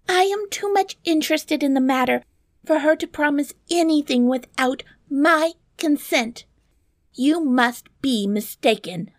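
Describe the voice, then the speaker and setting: Storybook heroine: woman plays a proud, anxious young princess, very high and plaintive, quick to exclaim. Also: dry recording. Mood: indignant. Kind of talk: fairy tale storybook reading.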